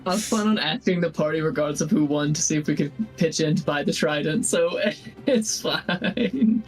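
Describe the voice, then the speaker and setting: A man with a fairly high voice, chatting as a player at a tabletop game. He is self-deprecating and swears casually. Music plays softly behind him. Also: moderate pace, low-quality recording.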